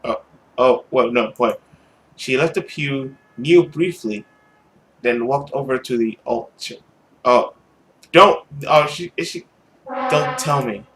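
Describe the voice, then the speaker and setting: A man's voice: mid-range voice, takes it slowly, long pauses, fairly bright tone, a little hiss or hum. A man hosting a gaming video, switching between casual commentary and dramatic narration of a visual novel.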